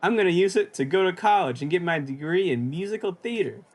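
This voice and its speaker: A man's voice: sits high, expressive, quick pace. A man playing a cocky, scheming young war veteran with boastful delivery in old-time radio sketch comedy. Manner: cocksure, boastful.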